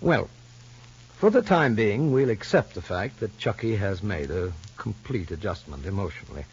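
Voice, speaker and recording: An actor playing a formal child psychologist in a radio soap opera, probing gently and deliberately. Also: male voice, lowish voice, animated, slight background noise. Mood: calm, probing. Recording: muffled old radio recording.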